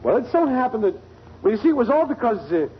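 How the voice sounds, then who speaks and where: A man's voice: very high voice, big pitch swings, fast talker. An actor playing a sheepish middle-aged husband and father in a 1940s radio comedy, stammering and hesitant. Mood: nervous. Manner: stammering, hesitant excuse-making. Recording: muffled, hissy 1940s radio recording.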